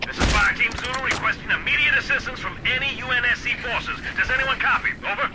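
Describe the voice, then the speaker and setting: An embattled marine in a video game, urgent and fast. His voice is pitched very high over loud gunfire and battle noise. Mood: urgent, strained. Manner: urgent military radio procedure. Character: embattled, urgent male marine calling for help. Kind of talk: dramatic video game acting.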